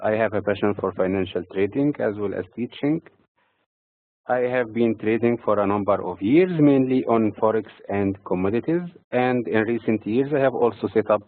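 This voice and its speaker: A man from Egypt with an Egyptian accent reads prepared financial market commentary in a measured, halting way, breaking phrases mid-sentence. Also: fairly low pitch, no echo. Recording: tinny, phone-like narrow-band audio.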